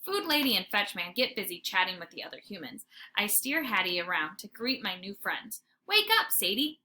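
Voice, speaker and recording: Female teacher-style storyteller, bright and expressive, reading to children over faint background noise. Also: medium pitch, brisk. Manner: dramatic, animated storytelling. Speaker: teacher reading aloud to students. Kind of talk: expressive children's book read-aloud. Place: informal homemade recording.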